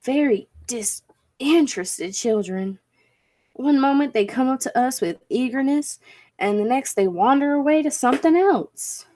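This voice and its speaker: A fairly high voice with a very slow, deliberate delivery. A woman plays a skeptical scientist, earnest and emphatic, in the manner of 1940s radio drama. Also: lively intonation, a little mellow. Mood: frustrated lecturing. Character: Dudley, skeptical, intense scientist and professor. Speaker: amateur drama club actor. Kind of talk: 1940s radio play acting.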